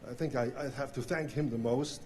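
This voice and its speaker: A middle-aged man from Switzerland with a Swiss German accent, giving an acceptance speech at an awards ceremony in a hall, halting and full of fillers and restarts. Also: mid-range voice, a little mellow. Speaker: award inductee. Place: hall with audience. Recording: faint noise from live recording.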